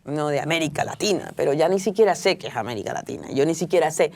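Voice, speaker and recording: Low-voiced woman, reflective. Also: expressive, fast, a little mellow, a little hiss or hum. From Venezuela. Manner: reflective, frequent restarts and fillers. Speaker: author and guest. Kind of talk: interview conversation.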